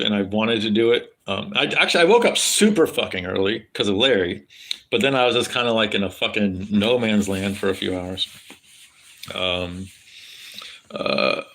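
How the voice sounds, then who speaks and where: A man's voice: medium pitch, fairly bright tone. A man with a standard American accent chatting loosely with his live stream viewers, swearing freely and rambling with lots of fillers. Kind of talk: casual rambling chat with viewers.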